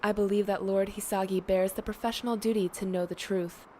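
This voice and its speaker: A woman's voice: medium pitch, steady tone, a little fast, dark tone, slight background noise, lightly acted. A woman playing a polite, composed and deferential mysterious antagonist with formal courtesy. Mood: composed, courteous.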